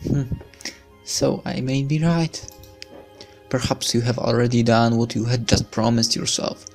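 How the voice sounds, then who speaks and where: A man's voice: medium pitch, fairly even intonation, measured pace, vocal fry, darkish tone. A man doing a let's play, reading a visual novel's story aloud dramatically with casual asides. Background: game music.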